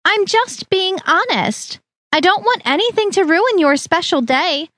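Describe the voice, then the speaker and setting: A woman voicing a snobbish middle-aged future mother-in-law who talks in a prim, condescending, drawn-out way. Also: very high-pitched, big pitch swings, fairly bright tone, no room sound, clean studio sound, voice pushed up, heavily acted.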